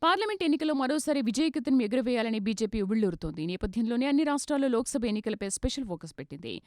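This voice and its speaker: Fast-paced woman reading news. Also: on the high side, lively intonation, a little mellow, no room sound, clean studio sound, faint music behind. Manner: formal. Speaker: news reader. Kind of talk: scripted news bulletin narration.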